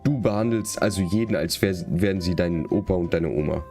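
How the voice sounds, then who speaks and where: A man's voice: low-pitched, brisk. A man doing casual livestream commentary on a game, with wry joking asides and some dialogue read aloud. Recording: game music behind the voice.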